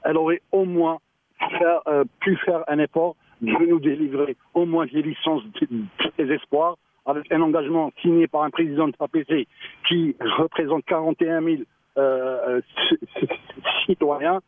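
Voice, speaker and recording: A man, a local mayor, speaking on a radio phone-in, halting and repeating words, with a choked-up voice. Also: a little high, steady tone. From Algeria. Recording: tinny phone line.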